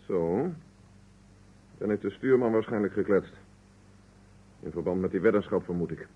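A defensive, sardonic suspect in an old radio play. His voice is low and very husky, with articulate, dry delivery. The recording is muffled and narrow like an old broadcast. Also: normal speed. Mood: dryly defensive. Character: defensive, indignant man being questioned, candid and sardonic. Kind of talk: vintage Dutch radio drama acting.

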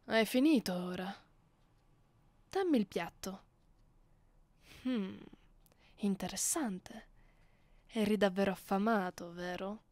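Haughty, slow-speaking vampire mistress voiced by a woman. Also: mid-range voice, a little mellow, no room sound, lightly acted. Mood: smugly pleased. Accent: Italian. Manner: slow, condescending, teasing, often dropping into whispers. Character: haughty, seductive female vampire mistress, commanding her captive. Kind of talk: ASMR roleplay, soft close speech. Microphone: close studio microphone.

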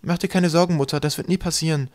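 A man plays a well-behaved young boy in a comic storybook. He sounds earnest and naive, pitched a little higher, always polite. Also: fairly even intonation, fast talker, a little mellow, no echo, close to an everyday voice. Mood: dutiful. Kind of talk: comic children's audiobook character.

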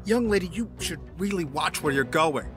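A man's voice: fairly high pitch, expressive, normal speed, natural voice. A man playing an irritated, haughty businessman in an audio drama, scolding and condescending. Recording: music behind.

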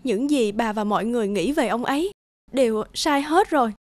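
A woman's voice: fairly high pitch, expressive, fairly quick, darkish tone, no echo, natural voice. A woman playing a grieving, bitter young daughter in a cartoon drama, emotional and earnest. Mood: earnest, pleading. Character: grieving, bitter young daughter defending her dead father. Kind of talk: dubbed cartoon drama acting.

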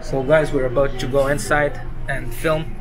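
A man's voice: medium pitch, little pitch movement, moderate pace, a crowd in the background, low-quality recording. A young man filming a casual vlog in a small restaurant kitchen, upbeat and repeating short words of praise. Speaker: vlogger. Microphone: handheld phone camera.

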